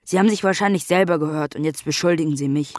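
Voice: medium pitch, fast, no echo, studio quality, natural voice. A young performer playing a blunt, mistrustful twelve-year-old grandson in a German radio drama, speaking in a matter-of-fact, formal way. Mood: accusing. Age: child, about 12.

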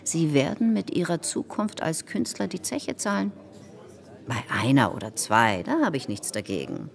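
A woman's voice: low voice, sing-song, normal speed, creaky, full character voice. An actress playing a domineering, scheming society hostess in a radio play, insistent and theatrical in her mock concern. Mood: insistent, coaxing. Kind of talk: German radio play acting.

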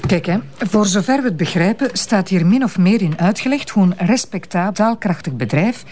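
An actress as a Flemish woman investigator in a radio crime drama. Her voice sits at a medium pitch, and she explains things earnestly, with confidence and care. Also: animated, normal speed, a little mellow, a little hiss or hum, lightly acted. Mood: explanatory.

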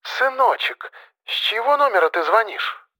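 A man's voice: sits high, lively intonation, normal speed, pushed and bright, pitched above the performer's own voice, low-quality recording. A man voicing an anxious, nagging elderly mother in an audiobook story, plaintive and lecturing, often sighing. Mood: tender, wary.

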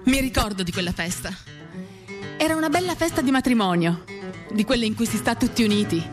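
A woman giving a literary dramatic reading of a first-person monologue, measured, with pauses between short phrases. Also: on the high side, expressive. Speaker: reader of a novel, performing a radio reading. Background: acoustic guitar music.

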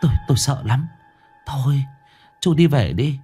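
Man doing a high-voiced widow, frightened, pleading, lively pitch, storybook reading. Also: moderate pace, soft background music, close to an everyday voice. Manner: pleading, shrill with shame.

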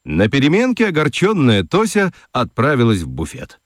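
A man's voice: medium pitch, huge pitch swings, normal speed, no room sound, studio quality. A man narrating a children's story as a scripted radio narrator. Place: dry studio.

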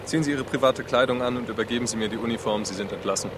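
An actor playing a curt, resigned army sergeant with a blunt manner in a radio drama. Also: male voice, medium pitch, very fast, background noise, natural voice.

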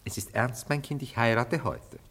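Flippant evasive ladies' man in old radio drama, medium pitch, really lively delivery. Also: normal speed, breathy edge, exaggerated voice. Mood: earnest. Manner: glib, teasing, theatrical. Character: flippant, evasive bachelor lover Anatol, nervous groom. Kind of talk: classic German radio play acting.

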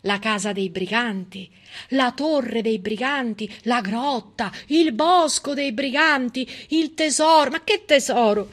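Bright, high-voiced woman in theatrical monologue. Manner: dramatic, emphatic, with deliberate pauses and repetitions. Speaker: stage actress and narrator. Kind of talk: theatrical monologue, civic storytelling.

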